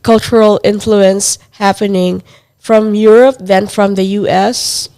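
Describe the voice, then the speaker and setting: A woman hosting an interview, asking quick, direct questions. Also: mid-range voice, level tone, warm tone. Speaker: podcast host, interviewer.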